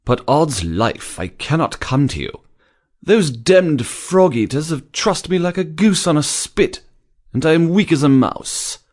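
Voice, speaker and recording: A woman's voice: sits low, very animated, measured pace, a little mellow, full character voice. A woman voicing a weary, good-humoured English baronet who speaks in sleepy, drawly, affected tones. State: weak and exhausted. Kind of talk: audiobook character voice.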